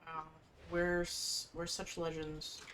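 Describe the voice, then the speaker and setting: Low-voiced woman rambling through gameplay. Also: level tone, slow pace, noisy background. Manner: rambling, thinking aloud. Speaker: gaming streamer. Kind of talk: casual gameplay commentary. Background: video game music.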